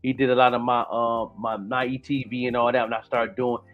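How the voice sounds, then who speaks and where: A man's voice: mid-range voice, flat delivery, fairly quick. Male host, late 40s, loose rambling chat full of "you know what I'm saying", muffled lo-fi recording with faint music under it. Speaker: podcast host. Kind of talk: casual chat.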